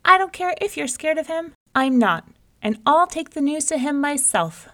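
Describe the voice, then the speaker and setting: A woman voicing a cocky but easily frightened male rabbit in a folktale reading, boasting loudly and stammering when scared. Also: on the high side, normal speed, pitched above the performer's own voice, close to an everyday voice. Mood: boastful.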